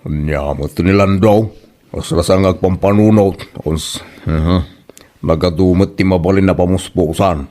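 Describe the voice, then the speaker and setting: A man's voice: deep voice, moderate pace, mellow, natural voice. A man playing a sceptical, disapproving older villager in an Ilocano comedy drama, grumbling and admonishing.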